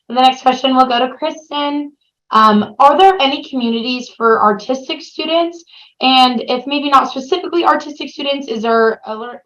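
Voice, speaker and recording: A woman's voice: a little high, fairly bright tone, lo-fi sound. A woman moderating a webinar Q&A, upbeat as she reads out questions and hands them to the panel. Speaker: session moderator.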